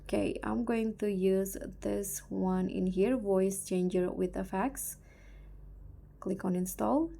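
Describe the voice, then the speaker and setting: A woman hosting a step-by-step app tutorial, talking in a halting, instructional way. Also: mid-range voice, steady tone, gravelly, warm tone. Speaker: tutorial host.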